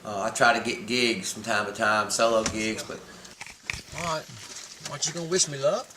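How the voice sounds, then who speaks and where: A man's voice: mid-range voice, moderate pace, roomy sound, noisy background. A man, a pianist and singer, talking in a folksy, joking way on a reality show. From Georgia, US.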